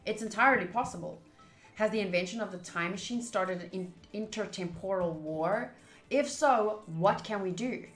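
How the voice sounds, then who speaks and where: A woman's voice: mid-range voice, moderate pace. A young woman game streamer reading game dialogue aloud and adding playful, self-mocking casual commentary. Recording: roomy sound over game music. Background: faint game music.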